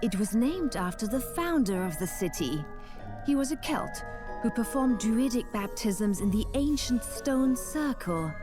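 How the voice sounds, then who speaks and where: An actress voicing a calm, friendly witch who lives alone in the woods, in a game cutscene. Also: a little high, measured pace, over music. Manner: calm, explaining. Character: young woman, a hermit witch, calm and friendly.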